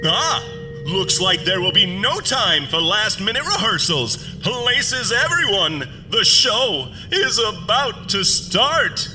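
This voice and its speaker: An actor playing a sinister figure who hosts a hokey, folksy farm radio show for children in a theatrical manner. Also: male voice, high-pitched, very animated, slow pace, pushed and bright, big-room sound, faint music behind, exaggerated voice. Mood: menacing showman.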